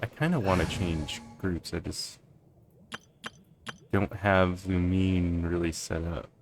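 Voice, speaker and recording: A man giving casual, low-key playthrough commentary, rambling as he thinks aloud. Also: slow, noisy background. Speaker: game streamer. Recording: game music behind the voice. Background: game music.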